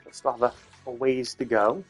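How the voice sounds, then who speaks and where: A man's voice: mid-range voice, fairly even intonation, normal speed, breathy edge. Rambling male game streamer over music. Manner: rambling, explanatory, repeats and rephrases. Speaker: video game livestreamer. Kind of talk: casual livestream commentary on games.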